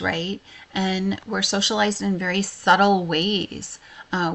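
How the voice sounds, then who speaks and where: An American woman giving an informal lecture as a college instructor, speaking earnestly in a halting way with frequent pauses. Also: on the low side, creaky, a bit bright.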